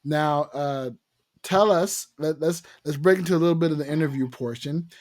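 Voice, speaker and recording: A man's voice: fairly high pitch, moderate pace, a little mellow. A man hosting a casual podcast interview, rambling and repeating words as he searches for what to say. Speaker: podcast host.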